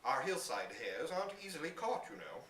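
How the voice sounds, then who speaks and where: A man voicing a flustered, proper gentleman landowner from a storybook, indignant and demanding, trying to sound authoritative. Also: fairly high pitch, big pitch swings, normal speed, heavily acted. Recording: roomy, distant live recording with hiss.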